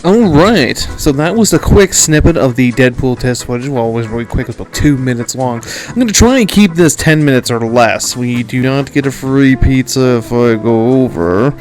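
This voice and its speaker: A man's voice: mid-range voice, lively intonation, normal speed, hardly any pauses, a little mellow, faint music behind. A man from Canada hosting a podcast with casual, rambling, informal pop-culture commentary. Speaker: podcast host.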